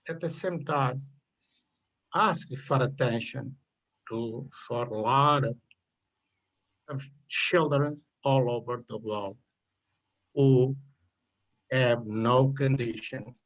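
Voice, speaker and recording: An older man from Portugal with a Portuguese accent, speaking English haltingly on a video call about the essay he wrote. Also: mid-range voice, fairly bright tone, lo-fi sound. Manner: halting, searching for words, apologetic. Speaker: contest winner, retiree.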